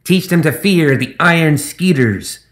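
A man's voice: fairly high pitch, lively intonation, measured pace, voice pushed up. A man voicing Captain Zip, a pompous male gremlin sky pirate who makes grandiose, self-important proclamations. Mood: boastful.

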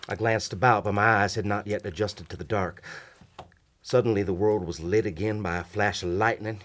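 An actor playing a wounded, gentlemanly soldier in a radio drama, narrating and speaking in a grave, formal, courteous manner. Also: male voice, lowish voice, steady tone, moderate pace, background noise, close to an everyday voice. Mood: horrified. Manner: formal, grave, old-fashioned courteous speech. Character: wounded gentlemanly soldier recounting his haunted, doomed past. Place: small indoor radio studio. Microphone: shared vintage-style studio mic on stand.

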